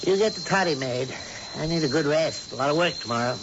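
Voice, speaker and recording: An actor playing an elderly warlock who keeps a boardinghouse, chatty and homey, in a 1970s radio drama. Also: male voice, fairly high pitch, expressive, fast, background noise, close to an everyday voice. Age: older. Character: elderly male warlock, cozy folksy boardinghouse keeper. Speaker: warlock husband. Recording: dull lo-fi old radio broadcast.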